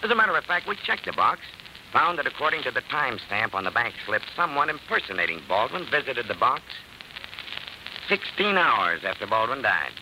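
Dogged sardonic detective, animated medium-pitched voice, noisy vintage radio. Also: male voice, fairly quick. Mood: pressing, sardonic. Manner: wry, pointed questioning. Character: shrewd, dogged, sardonic male police detective. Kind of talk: old-time radio crime drama acting. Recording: noisy old radio recording.

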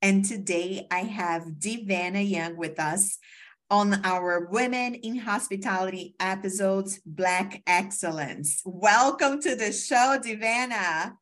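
Woman host, chatty and effusive, mid pitch. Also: slow pace, a bit bright. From Brazil. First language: Portuguese. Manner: effusive, chatty, many fillers. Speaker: podcast host. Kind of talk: casual interview.

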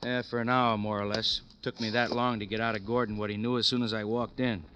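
A man's voice: lowish voice, flat delivery, a little fast, pushed and bright, a little hiss or hum. A man acting an easygoing, slightly weary police detective sidekick in a classic radio crime drama, colloquial and dry. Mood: dryly griping.

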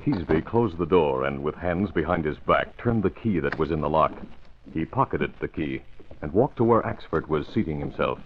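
A man's voice: extremely low pitch, lively intonation, normal speed, a little hiss or hum. A man narrating and announcing in scripted 1940s radio style. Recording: thin, tinny old radio recording.

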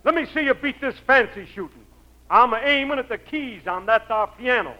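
A man's voice: very high-pitched, lively intonation, normal speed. An actor playing a tough, boastful male gunslinger in a Western comedy. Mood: challenging. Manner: brash, challenging. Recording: dull old recording with loud hiss.

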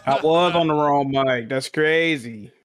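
A man's voice: on the high side, a little slow, a bit bright. A man co-hosting a podcast in casual, chatty banter. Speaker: podcast co-host.

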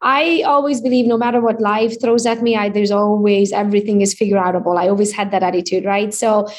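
A woman in her forties from Romania with a Hungarian accent, telling her story as an interview guest in an upbeat, animated way. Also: medium pitch, brisk. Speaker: real estate agent guest. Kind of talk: casual interview chat.

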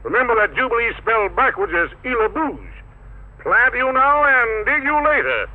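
A man's voice: extremely high pitch, big pitch swings, normal speed. An American man hosting a 1940s radio show with playful, hip jive patter. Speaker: radio show host and emcee. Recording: tinny, narrow-band vintage radio recording.

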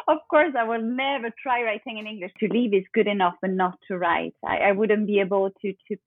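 A woman's voice: medium pitch, no room sound. A woman with a French accent, a native French speaker, talking casually as an interview guest, thoughtful and sometimes searching for English words. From France. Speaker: guest author. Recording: tinny phone-like call line, dry.

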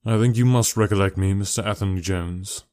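A composed, analytical detective from a storybook, read by a male narrator. The voice is fairly low and recorded close and dry. He lays out his reasoning precisely, in a quiet, instructive manner. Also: fairly quick, no room sound, lightly acted.

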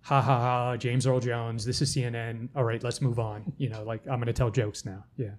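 A man with an average-pitched voice hosts a casual podcast chat. He asks long, meandering questions, restarting himself often and slipping in dry jokes. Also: flat delivery, normal speed. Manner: rambling, joking questions with many restarts. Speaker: podcast host.

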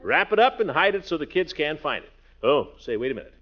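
A man's voice: on the high side, very animated, fast. A hearty, self-important middle-aged man of classic radio comedy. His theatrical delivery swings widely up and down, boastful and gossipy. The sound is the dull, narrow one of an old broadcast. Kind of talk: 1940s radio comedy acting.

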